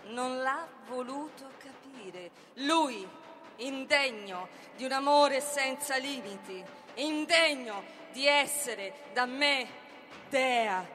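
Slow, high-voiced vengeful tragic sorceress. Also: female voice, a bit bright, faint music behind. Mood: proud, scornful. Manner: declamatory, slow, intense. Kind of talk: theatrical tragic monologue, declaimed dramatic reading. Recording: roomy reverberant hall, faint noise.

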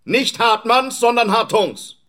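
A man's voice: very high-pitched, expressive, unhurried, fairly bright tone, heavily acted. An actor playing a stubborn, reluctantly obedient manservant in a German radio drama, stiffly repeating what he is told. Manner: stiff, military-style repeating of orders.

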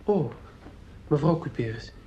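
A man's voice: a little high, lively intonation, unhurried, dark tone. A young actor playing an earnest, touchy teenage boy in a radio play, polite and sincere in his speech. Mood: polite, concerned. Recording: dull, noisy radio play recording.

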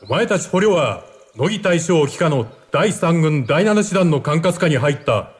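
Stern, commanding Japanese officer played by a man, highish voice, reverberant hall, radio drama. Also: expressive, brisk, natural voice. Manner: formal, commanding. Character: stern Japanese army officer addressing prisoners.

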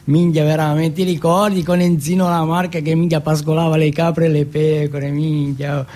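A man playing an excitable, foul-mouthed teenage street boy from Turin in a stage monologue, slangy and repetitive. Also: on the high side, moderate pace, close to an everyday voice. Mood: excited reminiscing. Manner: slangy, repetitive, swearing at every breath.

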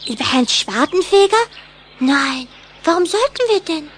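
A woman playing a smitten, headstrong little vampire girl in a German children's audio drama, speaking with coquettish, expressive emphasis. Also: sits high, measured pace, a little hiss or hum. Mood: confiding.

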